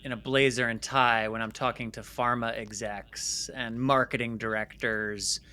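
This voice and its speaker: Bright-voiced, emphatic man in interview. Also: mid-range voice, steady tone, measured pace, clean tone. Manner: emphatic, repeats phrases for stress. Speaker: interview guest, filmmaker and former stage actor. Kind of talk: conversational storytelling interview.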